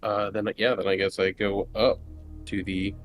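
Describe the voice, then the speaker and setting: A man's voice: on the low side, level tone, moderate pace, faint music behind. A man chatting casually as a player in a tabletop roleplaying game, describing what his character does.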